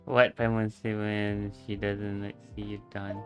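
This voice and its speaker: A man's voice: fairly low pitch, completely flat delivery, a little slow. A man streaming a game, reacting casually in slangy, muttered remarks with non-native grammar. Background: game music.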